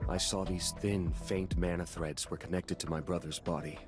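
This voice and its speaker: Deep, courteous guild master played by a man. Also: steady tone, natural voice. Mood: earnest. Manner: formal, polite, measured. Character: courteous, composed German guild master and elite hunter. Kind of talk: scripted video game cutscene acting. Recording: music behind the voice.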